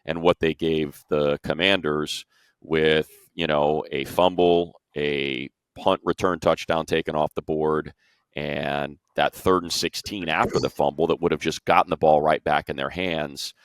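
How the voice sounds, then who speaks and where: Male sports panelist, very deep register, dry close sound, informal emphatic analysis. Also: fairly even intonation, measured pace, clean tone, no room sound. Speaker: sports show panelist and play-by-play announcer.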